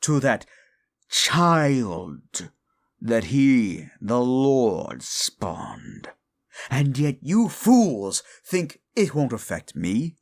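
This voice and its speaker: A man's voice: mid-range voice, big pitch swings, extremely slow, heavily acted. A man voicing a proud, scornful male demon of desire in an audio roleplay drama, who talks down to the listener with theatrical contempt. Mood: contemptuous.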